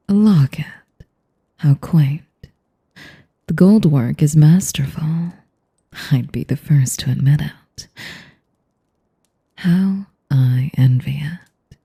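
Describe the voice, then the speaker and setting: A woman performs a sadistic, refined vampire lady for an audio roleplay. Her voice is low and husky, close to a whisper. She takes her time with every phrase, teasing and manipulating under a veneer of courtesy. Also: mellow, heavily acted. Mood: coolly teasing. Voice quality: breathy, whispery. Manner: slow, teasing, mock-polite, refined. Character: sadistic, elegant, manipulative female vampire noble. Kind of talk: intimate audio roleplay, ASMR-like.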